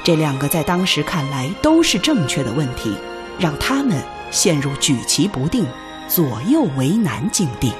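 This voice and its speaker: A woman's voice: low voice, very animated, moderate pace. A woman narrating a radio drama from a script in a formal, solemn, declamatory manner. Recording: music clearly behind.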